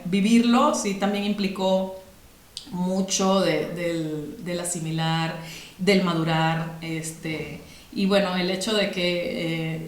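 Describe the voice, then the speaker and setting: A woman's voice: lowish voice, reverberant. A woman with a Cuban accent, a podcast guest talking reflectively with many fillers and restarts. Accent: Cuban Spanish. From Cuba. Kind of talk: conversational interview.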